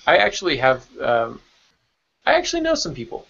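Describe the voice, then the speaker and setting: A man's voice: fairly high pitch, wildly swooping pitch, fairly quick, gravelly, bright, forceful tone, husky. A man hosting a podcast with casual, chatty delivery among co-hosts and guests. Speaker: podcast host. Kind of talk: casual panel chat. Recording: dull lo-fi online audio.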